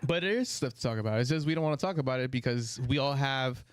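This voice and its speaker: Male co-host, mid pitch, teasing and laughing, dry close-miked sound. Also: quick pace, clean tone, no echo. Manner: teasing, laughing, moves the show along. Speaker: podcast co-host. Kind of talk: casual sports chat.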